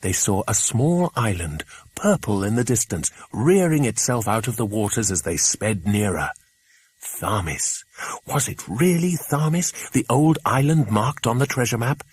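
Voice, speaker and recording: A man reading a children's adventure audiobook, an expressive scripted narration. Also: fairly low pitch, a little slow, husky.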